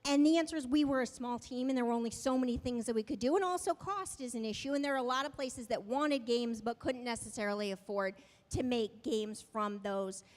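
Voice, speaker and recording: A woman's voice: fairly high pitch, brisk. A woman speaking at a game developer meetup in a conversational way, often restarting and tagging her points with "right?". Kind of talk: casual talk to an audience. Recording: long reverb of a hall.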